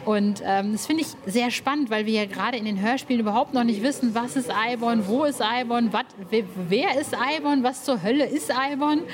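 A woman's voice: on the high side, a little fast, hardly any pauses, crowd noise. A woman interviewing in a casual chat, informal and enthusiastic. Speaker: podcast interviewer.

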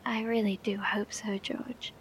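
A woman playing an anxious, caring radio operator in a horror audio drama, with a breathy voice and a tentative, concerned delivery. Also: medium pitch, little pitch movement, measured pace, slight background noise, close to an everyday voice. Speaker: actor.

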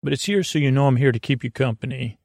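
A man with a creaky voice hosting a podcast in a rambling, soothing, casual bedtime monologue, halting and often trailing off mid-thought. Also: darkish tone, dry recording, studio quality. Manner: soothing, low-key. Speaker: podcast host.

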